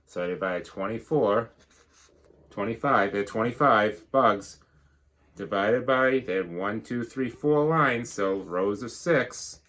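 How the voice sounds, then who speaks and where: A man's voice: on the low side, takes it slowly, a bit bright. A man reading a children's storybook aloud like a teacher, rhythmically, with explanatory asides.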